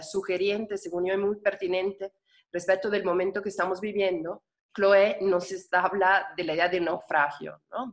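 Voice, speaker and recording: Brief: woman moderator, low-ish voice, hesitant fillers, video call. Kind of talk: introducing a panel.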